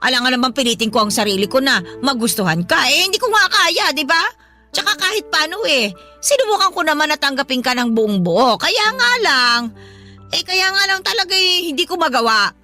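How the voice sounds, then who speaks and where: Shrill, cranky, quick-talking mother-in-law. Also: very animated, bright, forceful tone, soft background music, exaggerated voice. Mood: irritated, scolding. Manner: blunt, irritable, scolding. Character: cranky, hostile mother-in-law, a grandmother, scolding at home. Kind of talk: radio drama acting.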